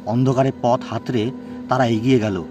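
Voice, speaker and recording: A man's voice: medium pitch, steady tone, moderate pace, darkish tone. A man telling a horror story as a dramatic, scripted narration. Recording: music bed behind the voice.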